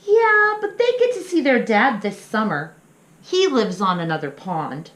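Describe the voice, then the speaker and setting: A woman's voice: on the high side, sing-song, a little slow, fairly bright tone, pitched above the performer's own voice. A woman voicing a curious, eager little wolf pup in a children's storybook, with childlike, emphatic questions. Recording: roomy, echoing sound.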